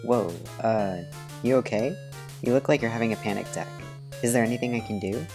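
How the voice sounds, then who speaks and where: A woman's voice: a bass voice, fairly quick, over music. A woman voicing a mild, concerned young male convention cosplayer in a comic fan dub, casual in manner.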